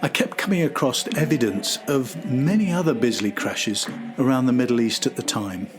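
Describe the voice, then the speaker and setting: A man narrates a documentary podcast in a scripted, storytelling style. His voice sits at a medium pitch, with music playing behind him. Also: moderate pace.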